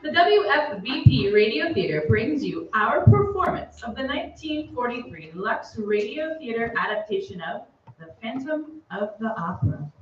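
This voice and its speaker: A woman's voice: fairly high pitch, very animated, moderate pace. A woman announcing in a scripted, theatrical old-time radio style. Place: theater stage. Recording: echoey stage, distant mic, muffled, noisy.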